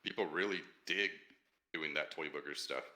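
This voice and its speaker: A man, a studio co-founder, talking up his team passionately in casual podcast chat. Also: bright, forceful tone. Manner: passionate, fast-talking pitch.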